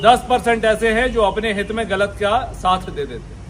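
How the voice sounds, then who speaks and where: High-pitched man exhorting a meeting. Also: normal speed, fairly bright tone. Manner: exhortatory, emphatic repetition. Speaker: senior police officer. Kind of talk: public address to a meeting. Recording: live speech with background noise.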